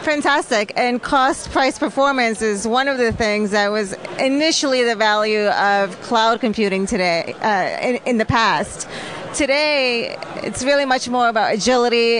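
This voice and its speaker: A woman's voice: a little high, slight background noise. A woman in her forties interviewing a guest on a trade show floor, asking polished questions into a handheld mic. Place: busy expo hall floor. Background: crowd chatter.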